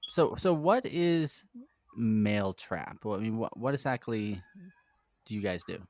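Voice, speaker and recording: A man hosting a software development podcast, asking his guest questions in an upbeat, friendly way. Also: on the low side, lively intonation, a little slow, slight background noise. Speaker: podcast host. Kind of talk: podcast interview.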